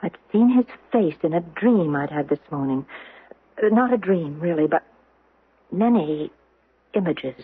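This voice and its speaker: An actress playing a troubled young Roman woman haunted by visions of doom, in a dramatic, halting, foreboding old-time radio style. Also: deep voice, lively intonation, husky, full character voice. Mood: wondering. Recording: muffled vintage 1970s radio broadcast.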